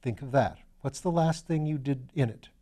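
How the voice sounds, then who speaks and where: A man reading a storybook as a calm, courteous gentleman detective, asking patient, gently coaxing questions in a measured way. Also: medium pitch, lightly acted. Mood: calm, probing.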